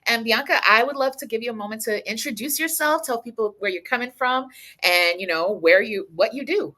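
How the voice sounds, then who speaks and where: A woman hosts a panel with an enthusiastic, playful manner. Her voice is bright and sharp-edged. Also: on the high side, brisk. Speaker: moderator. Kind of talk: hosting a panel discussion.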